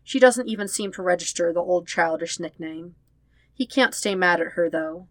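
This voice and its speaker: A woman narrating dramatic fan fiction as an audiobook, with expressive, measured storytelling. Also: on the low side, no room sound.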